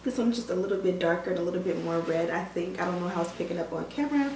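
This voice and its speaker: A woman's voice: fairly low pitch, rapid-fire. Woman vlogger, voice all scratchy from a cold, chatting away w lots of ums, roomy sound a bit far from the mic. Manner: chatty with many fillers. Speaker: beauty vlogger reviewing a wig. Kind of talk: casual product review talking to viewers. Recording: roomy room sound, mic at a distance, faint noise.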